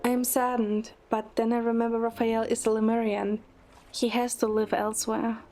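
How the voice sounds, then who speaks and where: High-voiced woman narrating over music. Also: little pitch movement, normal speed. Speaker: narrator and lead in a fan audio drama. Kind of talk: scripted first-person dramatic narration. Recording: loud music under the voice.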